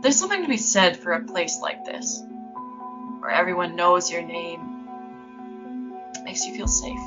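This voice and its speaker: Wary small-town female storyteller played by an actress: lower register, colloquial and brooding, muffled audio drama sound with music. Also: sing-song. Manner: colloquial, measured, ominous. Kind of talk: scripted first-person horror narration.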